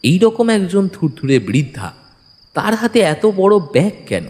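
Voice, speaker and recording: A man's voice: sits high, sing-song, measured pace, darkish tone. A man narrating a detective audio story in the first person, suspenseful and dramatic. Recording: long reverb, music bed. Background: music.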